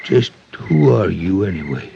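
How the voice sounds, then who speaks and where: Edgy rural American motel man, vintage radio drama: folksy, stammering, evasive, some fry, muffled old recording. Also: low voice, very animated, unhurried, mellow, slight background noise, full character voice. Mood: flustered, nervous. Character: nervous, evasive rural Western man running roadside cabins. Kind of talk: 1950s radio drama acting.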